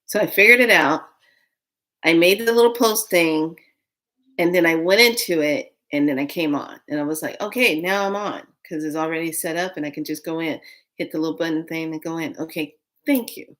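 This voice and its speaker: A woman's voice: deep voice, normal speed, fairly bright tone. A woman in her late fifties chatting casually to viewers on a live stream, rambling through a story. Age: 50s. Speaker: vlogger.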